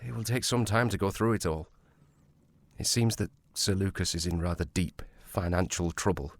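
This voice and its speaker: Earnest period sergeant, measured and formal, remorseful, lowish pitch. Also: male voice, no room sound. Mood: candid, troubled. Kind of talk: period audio drama acting.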